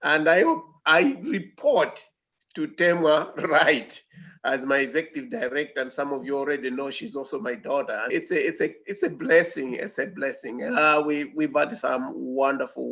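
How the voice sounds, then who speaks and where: Brief: middle-aged man from Malawi, gravelly voice, unhurried, dull video-call sound. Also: mid-range voice, bright, forceful tone. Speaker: co-founder and director of field operations.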